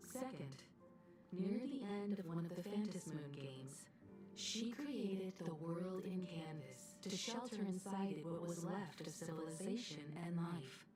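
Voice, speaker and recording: An actress playing an earnest, gentle young woman in a video game, recounting legends in a measured, sincere way. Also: lowish voice, distant mic. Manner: measured, sincere, story-telling delivery. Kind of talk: scripted video game dialogue.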